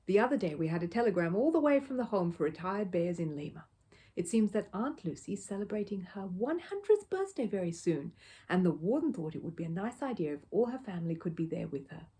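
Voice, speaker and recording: A woman voicing a kindly, reasonable middle-aged father in a children's storybook, speaking in a measured way. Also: medium pitch, big pitch swings, warm tone, husky. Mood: measured, genial.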